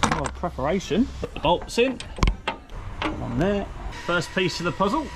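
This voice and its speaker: A man's voice: high-pitched, big pitch swings, moderate pace, music in the background. A man in his thirties with an English accent, chatting and joking while fitting parts to a truck outdoors. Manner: chatty, jokey, exclaims often. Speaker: trucker vlogger. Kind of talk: casual banter while working. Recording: outdoor noise of yard and vehicles.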